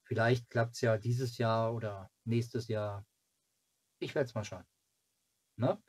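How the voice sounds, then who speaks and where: A man in his forties from Germany chatting casually to camera as a fan channel host, in a halting, rambling way. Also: mid-range voice, fairly even intonation, darkish tone, breathy edge. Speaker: fan club video host. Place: small cluttered home room.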